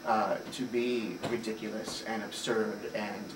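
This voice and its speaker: A man's voice: fairly low pitch, fairly even intonation, a little slow. A man from Puerto Rico, a theater director, giving thoughtful interview answers, fluent but with frequent filler sounds. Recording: roomy, distant mic, noisy ambience.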